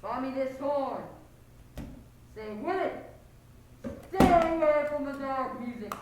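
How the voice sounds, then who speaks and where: A woman's voice: sits high, expressive, slow, noisy background. A young woman playing a haunted male pier watchman in a school stage play, preaching in incantatory, archaic biblical phrases. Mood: intense, rambling. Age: teenager. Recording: echoey stage hall recording.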